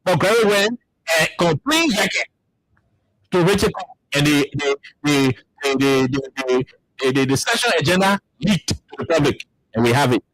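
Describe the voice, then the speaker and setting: A man's voice: fairly high pitch, lively intonation, normal speed, fairly bright tone, dry recording, rough recording. A man from Liberia with a Liberian English accent, hosting a radio talk show with heated political commentary and repeating words as he searches for them. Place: radio studio.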